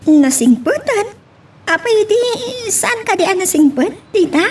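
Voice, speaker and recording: A woman's voice: very high voice, lively intonation, measured pace, a little mellow, recorded from a distance. A woman with an Ilocano accent acting an inquisitive, chatty young woman in a romance audio drama. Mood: curious.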